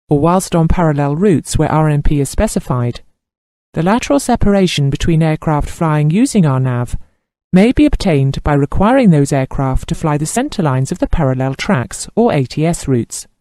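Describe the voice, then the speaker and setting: A man reading scripted instructional narration as a training narrator, in a formal, measured and precise manner. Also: fairly high pitch, mellow, no room sound.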